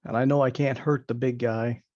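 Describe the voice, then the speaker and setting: A man's voice: medium pitch, fairly even intonation, fairly quick, darkish tone, no room sound. A man talking casually as a player in a tabletop game, on slightly muffled audio.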